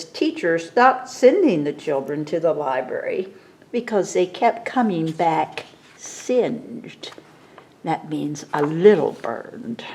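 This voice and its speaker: A woman reading a picture book aloud at a library story time, expressive, with explanatory asides to children. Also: mid-range voice.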